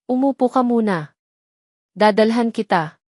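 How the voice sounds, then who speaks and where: A calm and independent young woman in a romantic audio drama, voiced by a woman narrator. She speaks with composure. The recording is dry and close. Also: a little high, unhurried, dry recording. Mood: calm, guarded.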